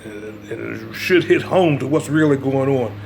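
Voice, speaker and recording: A man with a gravelly voice giving an informal religious lecture, part reading and part commentary, emphatic and repeating his phrases to press the point. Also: medium pitch, measured pace, darkish tone, slight background noise. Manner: emphatic, repeats phrases, checks listener's understanding. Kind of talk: informal religious lecture mixing read-aloud passages and commentary.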